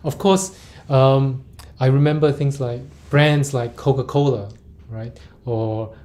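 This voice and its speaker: A man's voice: medium pitch, slow pace, a little mellow. A man from Singapore interviewing a guest, thinking aloud as he frames long questions. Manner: rambling, thinks aloud while asking.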